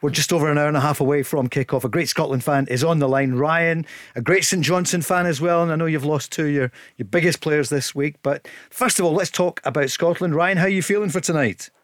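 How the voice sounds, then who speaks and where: Male sports radio host, higher voice, quick jokey delivery, dry booth. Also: no room sound.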